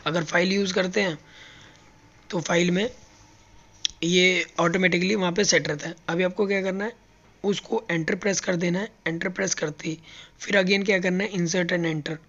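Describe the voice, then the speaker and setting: A man's voice: high voice, fairly even intonation, normal speed, a bit bright, lo-fi sound. A man teaching robot welding step by step, explaining each button as he presses it on a factory floor. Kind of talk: hands-on tutorial.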